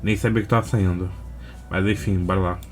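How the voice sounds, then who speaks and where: Low, flat-toned Brazilian man reacting casually. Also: fairly quick, soft background music. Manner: laid-back, slangy. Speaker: reaction video host.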